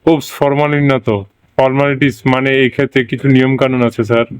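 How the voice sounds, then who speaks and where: A man's voice: mid-range voice, little pitch movement, fast, clear, resonant tone, dry recording, close to an everyday voice. A man playing a polite scam caller who poses as a company agent, with a Bangladeshi accent and a formal, explanatory manner. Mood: patient, persuasive. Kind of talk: scripted prank phone call dialogue.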